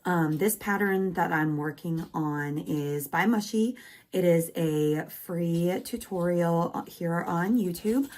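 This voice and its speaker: A woman's voice: on the low side, slow, darkish tone. Woman vlogging while losing her voice. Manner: chatty and candid, crocheting while talking. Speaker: crochet maker and small business vlogger. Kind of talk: casual rambling vlog chat. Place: home craft room.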